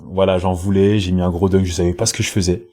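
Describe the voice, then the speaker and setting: Very deep dark husky male voice. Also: level tone, fast. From Congo. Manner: hesitant, many fillers and repeats, laughs easily. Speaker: professional basketball player, podcast guest. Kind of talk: casual interview talk. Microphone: home podcast mic with headset.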